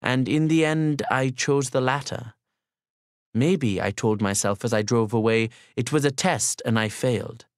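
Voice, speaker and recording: A man narrating a literary audiobook in the first person, in a formal, measured and polite manner. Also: mid-range voice, no echo, clean studio sound.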